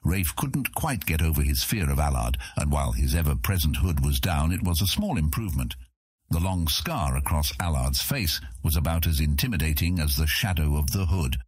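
A man narrating a scripted fantasy audiobook as a measured, expressive storyteller. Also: rumbling low, slightly rough, no echo.

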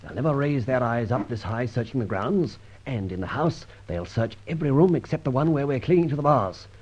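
Suave, husky gentleman thief, dull sound. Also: medium pitch, expressive, brisk. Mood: cool amusement. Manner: breezy, witty, upper-class turns of phrase. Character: suave gentleman adventurer thief, cool, jaunty and commanding. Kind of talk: old radio drama acting. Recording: muffled old radio recording.

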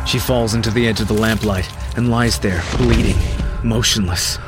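Actor as haunted male narrator, grim, tense, lowish pitch, horror audio drama, strong music bed. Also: fairly even intonation, moderate pace, lightly acted. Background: prominent music.

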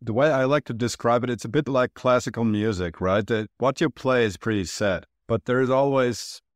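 A man's voice: medium pitch, moderate pace, dry recording. A man from Turkey, a studio founder, answering interview questions in a thoughtful way that explains through comparisons.

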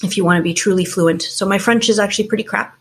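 A woman in her forties from Canada, speaking with a slightly husky voice at a middle pitch. She explains things in a steady, measured way, frank and clear, like a teacher describing her work. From Canada, small towns around Toronto. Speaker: guest, teacher and school founder. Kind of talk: casual interview chat.

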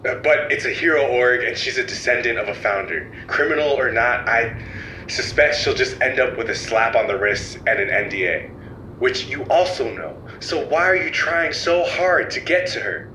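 A man's voice: mid-range voice, normal speed, echoey room, slight background noise, rough recording. A man playing a cold, condescending power agent. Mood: dismissive. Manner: calm, dismissive, condescending. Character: cold secretive male code-editing power agent. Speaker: antagonist in an audio drama. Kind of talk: audio drama acting.

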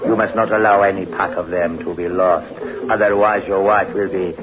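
An actor in a 1960s radio horror drama playing a sinister, mocking sorcerer who speaks in slow, deliberate, ominous formal phrases. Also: male voice, deep voice, fairly even intonation, close to an everyday voice. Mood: mocking, commanding. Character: sinister soul-buying sorcerer, calm and mocking. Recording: muffled old radio broadcast, music behind.

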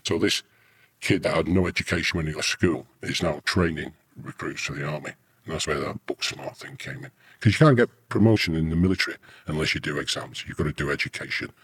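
A man's voice: very deep voice, fairly quick, a bit bright, slightly rough. A British man, middle-aged or older, an army veteran telling rambling, earthy anecdotes casually in an interview. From United Kingdom.

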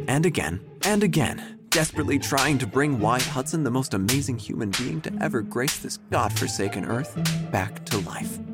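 A man playing a wry, melancholy small-town obituary writer, narrating an audio drama in measured, formal phrasing. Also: mid-range voice. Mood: wry, dramatic.

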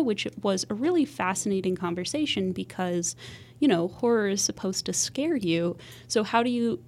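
Podcast co-host woman, easygoing. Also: mid-range voice. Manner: relaxed, frequent ums. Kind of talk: casual podcast chat.

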